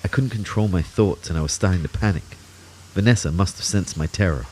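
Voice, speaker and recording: A man's voice: deep voice, a little fast, darkish tone, a little hiss or hum, lightly acted. An Australian actor playing Kyle, an anxious, self-doubting man telling his own story in an audio drama. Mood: anxious, reflective. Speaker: actor narrating in character. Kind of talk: first-person audio drama narration.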